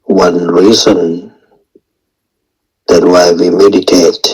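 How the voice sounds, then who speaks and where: A male meditation teacher with a low, husky voice gives a guided meditation talk. He speaks extremely slowly, in short halting phrases separated by long pauses. His English phrasing is non-native. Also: poor audio.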